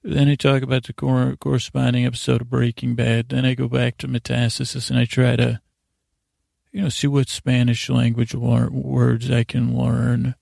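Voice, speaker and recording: A man hosting a bedtime podcast, rambling and halting through tangents with loose, slightly slurred diction to lull listeners to sleep. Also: mid-range voice, flat delivery, vocal fry, darkish tone, no room sound, clean studio sound. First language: English. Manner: meandering, halting, repeats and trails off into tangents. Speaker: podcast host.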